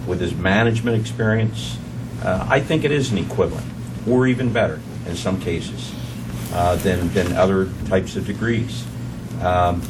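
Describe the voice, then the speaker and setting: A man speaking at a council meeting in formal remarks, halting with many fillers as he builds his case. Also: on the low side. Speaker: council member. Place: council chamber. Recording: distant mic, noisy room hum.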